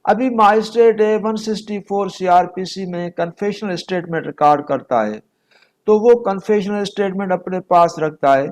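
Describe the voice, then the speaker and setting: A Pakistani man lecturing on law, explaining deliberately, repeating and rephrasing points and mixing in English legal terms. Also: sits high, a little mellow.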